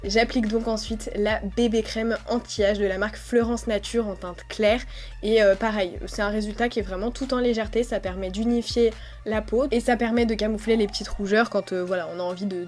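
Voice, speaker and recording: A young woman narrates in a casual, chatty voice-over, her voice stuffy and nasal from a cold. Her pitch sits at a medium height, and she talks in a relaxed way, with little pauses and hesitations. Soft music plays quietly behind her. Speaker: beauty vlogger. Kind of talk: voice-over narration of a skincare routine. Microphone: voice-over recorded separately.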